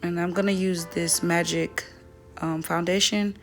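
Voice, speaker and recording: A young woman with a slightly raspy, dry voice, chatting casually through a makeup tutorial. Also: on the low side, measured pace, faint music behind. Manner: relaxed, chatty, self-correcting. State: voice dry from weather. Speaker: beauty vlogger.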